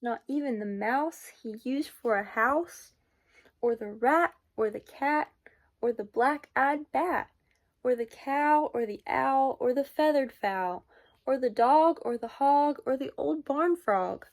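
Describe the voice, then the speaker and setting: Woman reading a kids book out loud, high voice, really slow and sing-songy. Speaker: picture book reader. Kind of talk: children's storybook read-aloud.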